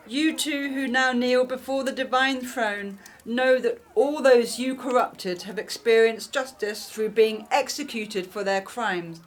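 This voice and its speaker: A woman's voice: a little high, a bit bright. A woman playing a ruthless, scheming Egyptian queen in an audio drama, with a stately, formal, commanding delivery. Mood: imperious. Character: imperious, ruthless scheming Egyptian queen, first royal wife.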